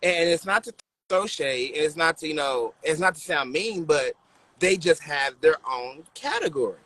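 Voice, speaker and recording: A man with a bright, sharp and slightly high voice chats on a podcast. He rambles, restarts and searches for words as he builds his question. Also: animated, normal speed, low-quality recording. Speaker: podcast co-host. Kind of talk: casual chat.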